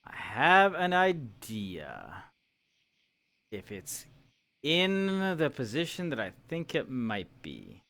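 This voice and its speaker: A man improvising in character in a fantasy roleplay game, speaking in a measured way with formal address. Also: mid-range voice, huge pitch swings, bright, forceful tone. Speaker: roleplayer playing a guildmaster in a fantasy town.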